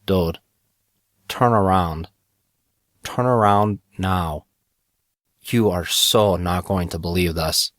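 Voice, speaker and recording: A casual, wisecracking young male sidekick, voiced by a male narrator in an audiobook. The talk is slangy, slightly lowered in pitch and recorded very dry. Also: slow pace, long pauses, fairly bright tone, no room sound. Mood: awestruck.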